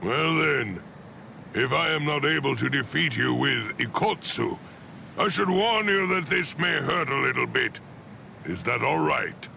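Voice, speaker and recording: An actor playing an ancient, stern and proud warrior commander in an anime video game, with a gravelly voice and a grave, imperious delivery. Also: male voice, medium pitch, animated, unhurried, sharp tone, slight background noise, full character voice. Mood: scornful, confident. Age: elderly. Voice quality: gravelly, creaky.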